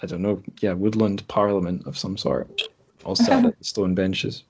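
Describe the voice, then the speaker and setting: A man's voice: sits low, sing-song, normal speed, vocal fry, breathy edge. A man hosting a casual podcast chat over an internet call, with a wry, whimsical way of talking.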